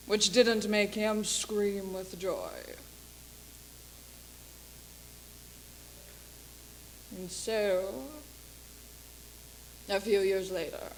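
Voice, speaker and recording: A woman playing a brash female Hollywood agent in a dramatic stage monologue, speaking deliberately with long pauses. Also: medium pitch, fairly even intonation, slight background noise, close to an everyday voice, low-quality recording. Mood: somber. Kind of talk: theatrical dramatic monologue for speech competition.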